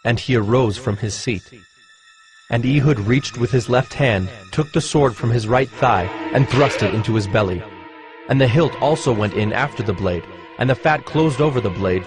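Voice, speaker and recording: A man narrating a dramatized Bible reading in a measured, solemn storytelling manner. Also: medium pitch. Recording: music behind the narration.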